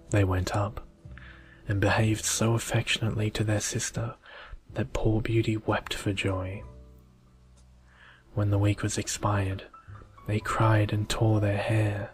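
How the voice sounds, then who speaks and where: Low soft male voice, very flat, asmr storybook reading over music, slow and soothing. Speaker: storyteller, video creator.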